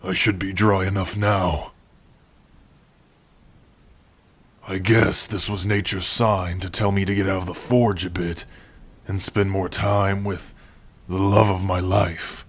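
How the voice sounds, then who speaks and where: A man playing a gentle, protective orc blacksmith in an ASMR roleplay, speaking tenderly and without hurry to a beloved listener. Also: low voice, flat delivery. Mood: warm, wry. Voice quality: husky. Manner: unhurried, tender, with soft sighs and hums. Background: rain.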